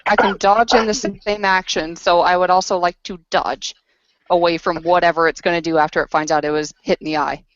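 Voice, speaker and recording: A woman's voice: on the low side, steady tone, fairly quick, a little hiss or hum. A woman talking casually as a player in a tabletop roleplaying game. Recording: muffled lo-fi voice recording.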